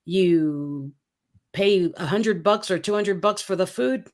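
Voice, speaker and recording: A woman from the Philippines co-hosting a casual talk show, upbeat and energetic as she pitches ideas and chats. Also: slow pace. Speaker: podcast co-host. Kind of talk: casual chat.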